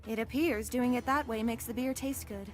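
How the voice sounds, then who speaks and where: A woman's voice: on the high side, steady tone, moderate pace, faint music behind, close to an everyday voice. A woman playing a courteous palace attendant in a comic dub, giving patient, orderly instruction. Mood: calm, instructive.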